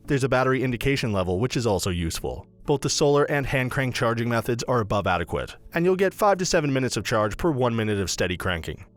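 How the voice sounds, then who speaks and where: A man reading a scripted product review as a voice-over narrator, in a brisk, even read-aloud delivery. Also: medium pitch, faint music behind.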